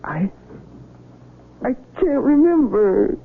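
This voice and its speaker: Gravelly, stammering young soldier. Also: male voice, very high voice, animated, extremely slow, full character voice. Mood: dazed. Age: young adult. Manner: hesitant, stammering, confused. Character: dazed young wounded soldier who lost his memory. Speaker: narrator of his own story. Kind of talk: 1970s radio drama acting. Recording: tinny old radio broadcast with loud hiss.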